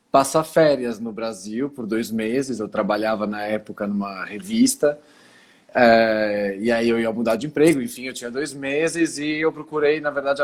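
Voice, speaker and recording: Calm Italian-born man on a phone call. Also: mid-range voice. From Italy. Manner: calm, explanatory, pauses often to gather thoughts. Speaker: guest, cultural center founder. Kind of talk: casual video call interview.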